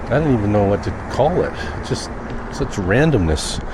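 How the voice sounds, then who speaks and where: A man talking off the cuff in a personal vlog, reflective and rambling with thoughtful pauses. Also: lowish voice, very animated. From Canada. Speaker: daily vlogger. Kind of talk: unscripted personal vlog monologue. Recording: noisy outdoor recording with vehicle sounds.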